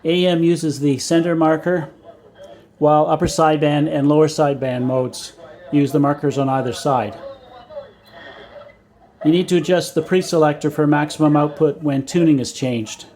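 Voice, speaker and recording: Male hobbyist presenter, voice a little on the high side. Measured, methodical reading of explanatory script, plain and matter-of-fact. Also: fairly even intonation, a little mellow. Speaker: vintage radio hobbyist and presenter.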